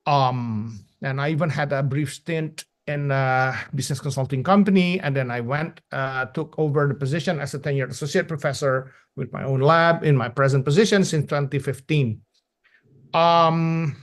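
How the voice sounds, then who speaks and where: A man from Indonesia giving an informal conference talk online as an academic presenter, casual and self-deprecating. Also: fairly high pitch. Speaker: academic presenter, associate professor. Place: online video call.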